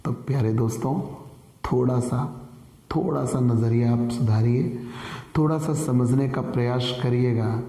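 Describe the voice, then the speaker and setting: A man teaches an online commerce lesson through a sore, hoarse throat. His voice is mellow and warm, and he talks earnestly to his viewers as friends. The sound carries a roomy echo. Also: mid-range voice, fairly even intonation, a little slow. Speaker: commerce tutor. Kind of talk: online lecture.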